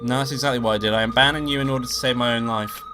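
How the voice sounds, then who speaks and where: A man with a nasal cold reading the lines of a calm, guarded young female detective in his own plain voice. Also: mid-range voice, racing, close to an everyday voice. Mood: subdued. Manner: read flatly in his own voice. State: sick and nasal. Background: game music.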